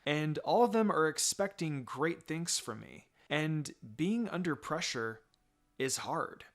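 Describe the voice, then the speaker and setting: A precocious little ninja girl, acted out by a man in an anime story reading. The voice is fairly high and swoops about expressively, earnest, confident and a bit cheeky. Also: expressive, slow pace. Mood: earnest, troubled.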